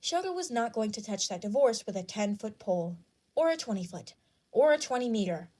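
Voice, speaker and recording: A woman's voice: on the high side, very animated, brisk, dark tone. A woman reading scripted fan fiction as the narrator.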